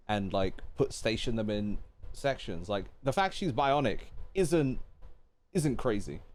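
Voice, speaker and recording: A young man giving casual, slangy live commentary as a game streamer, in an animated way. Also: medium pitch.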